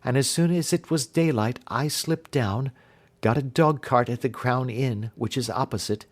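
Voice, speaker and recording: A man voicing an earnest, distressed young gentlewoman in a storybook reading, with formal Victorian phrasing. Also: mid-range voice, moderate pace, lightly acted. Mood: anxious. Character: Helen, distressed young gentlewoman recounting her sister's death.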